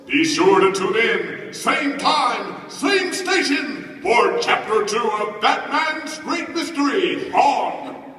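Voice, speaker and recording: High, bright old-time radio announcer. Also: male voice, very animated, slow, heavily acted. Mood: urgent, excited. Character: excitable, dramatic 1940s radio serial announcer. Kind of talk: 1940s radio serial narration. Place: large indoor convention panel room. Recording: echoey convention hall, distant mic, crowd.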